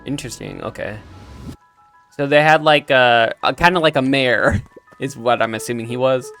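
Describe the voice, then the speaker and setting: A man's voice: mid-range voice, moderate pace. A man hosting a let's play, chatting casually and reacting aloud as he plays. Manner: animated, reacting aloud to the game. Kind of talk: casual gameplay commentary. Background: game music with guitar.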